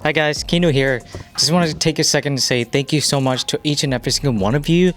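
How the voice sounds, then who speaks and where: A man's voice: on the high side, fast talker. A man hosting a podcast, addressing viewers directly in a prepared thank-you message. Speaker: podcast host.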